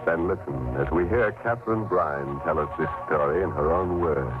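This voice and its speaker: A man's voice: extremely low pitch, moderate pace. A man playing a gleefully morbid, mock-cordial horror show host on 1940s radio, drawling with droll relish. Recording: muffled, tinny 1940s radio, organ music behind.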